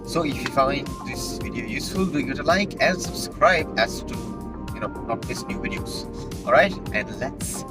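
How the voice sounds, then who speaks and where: A hoarse man with a raspy voice, chatting casually as a travel vlogger while driving and walking on a beach, rambling with fillers and correcting himself. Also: mid-range voice, animated, normal speed, over loud music. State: hoarse after a night out. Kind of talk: casual vlog tour narration. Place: driving a car, then outdoors on a beach.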